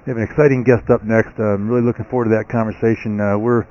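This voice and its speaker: A man's voice: fairly low pitch, little pitch movement, lo-fi sound. A man co-hosting a live radio show, upbeat and introducing his guest. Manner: upbeat, brisk presenter.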